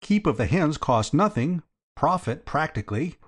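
A hapless young upper-class nephew from a comic storybook, acted by a male reader. He rambles earnestly in a flustered, raised voice, naively hopeful and up and down in pitch. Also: sing-song, moderate pace, breathy edge. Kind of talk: audiobook character dialogue.

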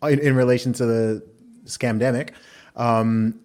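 A man who grew up in Saudi Arabia, hosting a podcast interview and talking in a measured, reflective way through long arguments. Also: medium pitch, fairly even intonation, a little mellow. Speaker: podcast host. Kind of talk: conversational interview.

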